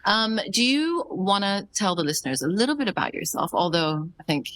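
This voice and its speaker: A woman's voice: mid-range voice, very animated, brisk, fairly bright tone. A woman in her thirties hosts a podcast interview, effusive and grateful as she praises her guest. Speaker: podcast host. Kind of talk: casual interview.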